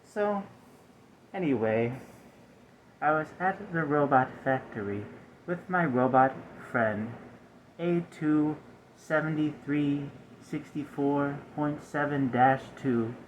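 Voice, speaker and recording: A man voicing a stiff, aggrieved, malfunctioning robot in a soft-spoken ASMR roleplay, with formal, halting, over-precise phrasing. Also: on the high side, slight background noise, low-quality recording.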